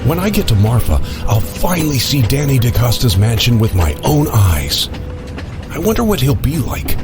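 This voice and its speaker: A man's voice: mid-range voice, sing-song, moderate pace, music in the background, natural voice. A man playing an eager, polite, wide-eyed traveler, speaking earnestly in an audio drama. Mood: awed, curious.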